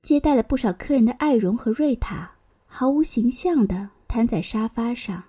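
A woman's voice: on the high side, animated, normal speed, dark tone, breathy edge. A woman reading scripted narration for a radio drama.

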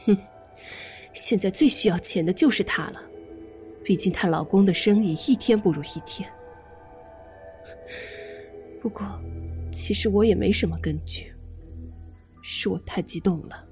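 A woman's voice: mid-range voice, normal speed, pauses often, warm tone, faint music behind, lightly acted. An actress playing a bitter, guarded bereaved mother, suspicious and defensive, in an audio drama. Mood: bitter, subdued.

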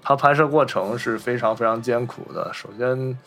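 A man's voice: mid-range voice, normal speed, a bit bright. A man, talking casually about films as a podcast host. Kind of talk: casual film discussion.

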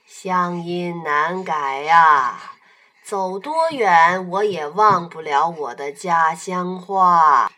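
A woman voicing a gentle, homesick old scholar of eighty-six in a children's radio play, with slow, sighing, drawn-out delivery. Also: on the low side, pitched below the performer's own voice, exaggerated voice. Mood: wistful, nostalgic.

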